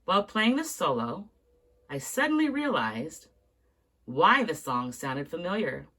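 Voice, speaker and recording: A woman reading a book aloud in an expressive storytelling manner. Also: low voice, a little slow. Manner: expressive read-aloud, carries on through interruptions. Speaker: book reader.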